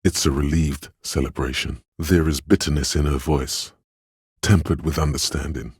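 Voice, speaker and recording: An extremely deep, heavily husky male inner voice speaks straight into a troubled detective's head. The delivery is measured, intimate and knowing, like scripted game narration, recorded dry and close. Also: fairly even intonation, dry recording, heavily acted. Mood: gentle, perceptive.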